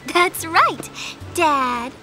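An actress playing a sweet, gentle young heroine in a video game, speaking in an encouraging way. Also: female voice, high-pitched, lively intonation, very slow, soft background music, heavily acted. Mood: warm, teasing.

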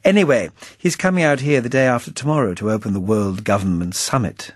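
A man's voice: medium pitch, fast talker, breathy edge, no echo, clean studio sound, voice pushed up, natural voice. A man voicing a chipper, suave English spymaster in a storybook, with jaunty upper-class patter and drawled endearments. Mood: affable, confiding. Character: chipper, suave British spymaster, breezy and affable.

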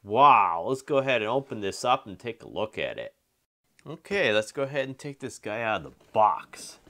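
A man with a fairly low voice presents a toy review. He speaks informally and easily, like someone chatting to viewers during an unboxing, often restating what he just said. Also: big pitch swings, normal speed, a bit bright. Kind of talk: casual unboxing and review commentary.

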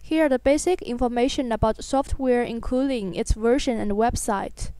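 Female narrator for a scripted how-to: high pitch, very clean tone, instructional. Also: moderate pace, warm tone, clear, resonant tone, dry recording. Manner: instructional, step by step. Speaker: software tutorial narrator.

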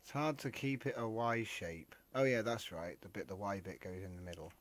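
A man's voice: lowish voice, moderate pace. A man building a ship in a game, talking it through casually and laughing at his own mistakes. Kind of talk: casual gaming chat.

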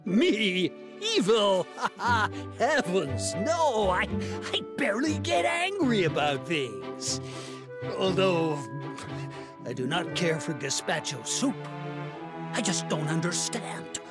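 A man voicing a grandiose, fussy dragon-like inventor in a cartoon game, with pompous, melodramatic, drawn-out delivery. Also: high-pitched, big pitch swings, over loud music, full character voice. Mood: fussy, defensive.

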